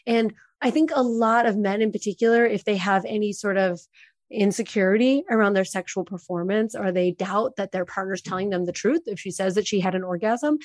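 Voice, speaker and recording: A woman's voice: mid-range voice, darkish tone, dry recording. A woman coach answering interview questions in a fluent, instructive way. She sometimes stresses points firmly, and the recording is dry and close. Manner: fluent, instructive, emphatic explaining. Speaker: sex and intimacy coach, guest.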